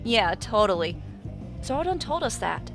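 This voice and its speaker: A woman's voice: mid-range voice, big pitch swings, measured pace. A woman playing a bubbly, flighty teenage valley girl superhero in an audio drama, breezy and slangy. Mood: evasive. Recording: music behind the voice.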